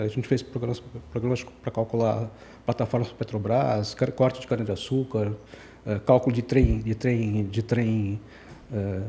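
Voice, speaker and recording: Man in his 60s, podcast guest; quick, mellow, rambling delivery that keeps self-correcting. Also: mid-range voice, creaky, a little hiss or hum. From Brazil. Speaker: guest engineer. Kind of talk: casual podcast interview.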